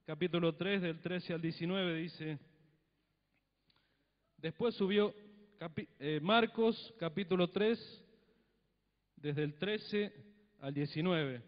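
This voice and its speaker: A man's voice: sits high, slow, pauses often. A middle-aged man with a Cuyo Argentine accent preaching a sermon on a handheld mic in a church hall, chatty, with frequent tag questions. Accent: Cuyo Argentine Spanish. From Mendoza, Argentina. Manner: conversational preaching, tag questions, acts out little dialogues. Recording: dull lo-fi sound, long hall reverb.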